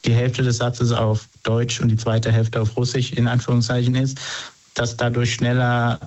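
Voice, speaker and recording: Dull lo-fi recording, man, mellow voice, even intonation, casual interview guest, hesitant phrasing. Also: medium pitch, normal speed. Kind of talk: casual conversational answers.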